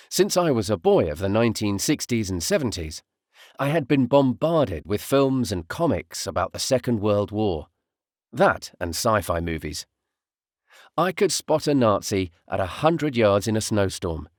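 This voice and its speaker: A male narrator reads a first-person story for an audiobook. His pitch moves expressively across phrases that are measured and deliberate. The sound is dry, with no room around it. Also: no room sound.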